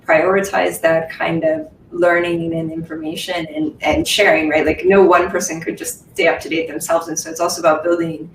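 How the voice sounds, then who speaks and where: A woman's voice: normal speed, creaky, hardly any pauses. A woman speaking casually as a panelist, with many "like"s and "right"s. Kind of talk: conversational panel discussion. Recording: roomy, distant from the mic, faint rumble.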